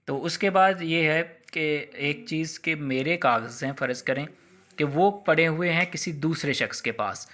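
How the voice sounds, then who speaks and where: Male law lecturer, Pakistani accent, sharp bright voice at medium pitch, explanatory and conversational, peppers speech with English terms. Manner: didactic, conversational, checks understanding with tag phrases. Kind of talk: explanatory exam lecture with hypothetical examples.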